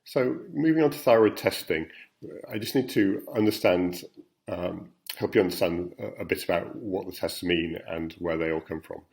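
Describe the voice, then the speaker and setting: A man's voice: deep voice, moderate pace, vocal fry, a little mellow. A man, a professor, giving a recorded talk to patients about study results, explaining slides plainly with frequent ums and uhs. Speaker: endocrinology professor.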